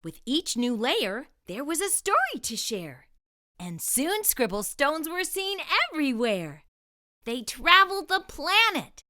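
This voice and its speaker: A woman's voice: sits high, very animated, measured pace, pushed and bright. A woman narrating a children's storybook expressively, enunciating for young listeners as a storytime host.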